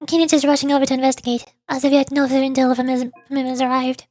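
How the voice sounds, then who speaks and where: High-voiced woman streaming a game. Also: rapid-fire, dry recording. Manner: playful, expressive. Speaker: vtuber streamer. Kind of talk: game livestream commentary, reading game text aloud. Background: game music.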